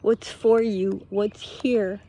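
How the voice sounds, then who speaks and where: A woman speaks slowly in a warm, relaxed tone at a middle pitch. She delivers an unscripted motivational talk with spiritual leanings. Her manner is earnest and halting, built from short phrases and questions put straight to the listener.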